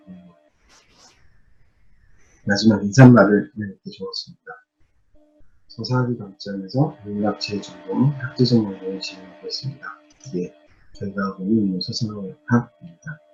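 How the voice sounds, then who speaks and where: A man presents academic project results in a formal but halting manner. His voice sounds a little strained, with pauses and repeats. The recording is dull and lo-fi, with music playing behind him. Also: fairly low pitch, darkish tone. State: strained, uncomfortable voice. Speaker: humanities professor presenting.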